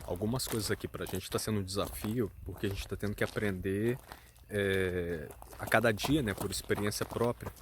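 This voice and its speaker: A man's voice: lowish voice, fairly even intonation, moderate pace. Earnest Brazilian father, noisy recording. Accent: Brazilian Portuguese. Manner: thoughtful, earnest, searching for words. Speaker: father and vlogger. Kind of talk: casual explanatory talk.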